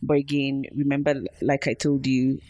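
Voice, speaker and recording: A woman's voice: rumbling low, flat delivery, normal speed, mellow, no room sound. Woman, interview guest; thoughtful, laughs easily, dry close mic. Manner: reflective, laughs often, trailing restarts. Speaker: podcast guest. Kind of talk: casual interview talk.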